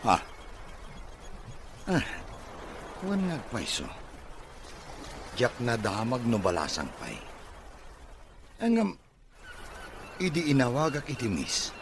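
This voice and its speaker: A man's voice: mid-range voice, wildly swooping pitch, slow, pauses often, background noise, full character voice. A man playing a bashful, hesitant bachelor son in an audio drama. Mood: bashful, pleased. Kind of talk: Ilocano audio drama acting.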